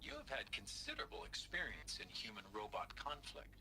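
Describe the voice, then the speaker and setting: An actor playing a formal, composed official in a video game, speaking with diplomatic control as an interviewer. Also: male voice, on the low side, big pitch swings, fairly quick, pushed and bright, full character voice, rough recording. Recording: game audio with clear background noise.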